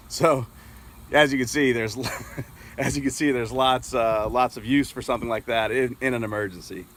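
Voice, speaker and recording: A man's voice: mid-range voice, fairly even intonation, moderate pace, noisy background. American guy in his 40s doing a casual gear demo outside, kinda hesitant, explains stuff as he goes. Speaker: product reviewer. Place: outdoors in an open grassy yard with trees.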